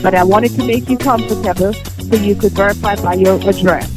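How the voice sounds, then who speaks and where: A woman's voice: mid-range voice, brisk. A woman working as a debt collection agent, talking to a customer over the phone. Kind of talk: phone call, scripted customer-service talk. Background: music.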